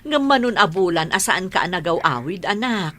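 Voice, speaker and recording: A woman's voice: on the low side, animated, moderate pace, background noise, natural voice. A woman acting a patient, caring middle-aged mother and wife in an Ilocano radio drama, with a pleading, emotive delivery and an Ilocano Filipino accent. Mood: tender.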